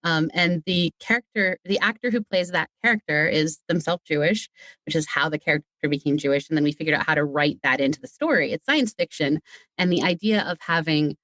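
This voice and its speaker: A woman's voice: low-pitched, a little fast, fairly bright tone, dry recording, low-quality recording. A woman speaking as a podcast guest, chatting casually in a talkative, playful way. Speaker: podcast guest, audio drama creator. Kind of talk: casual interview chat.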